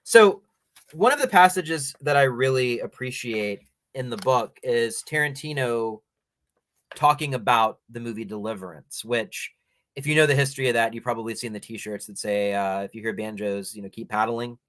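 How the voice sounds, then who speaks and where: A man hosting a culture show, giving opinionated, explanatory film commentary in a measured, bookish way and reading passages aloud. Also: medium pitch, fairly bright tone, dry recording.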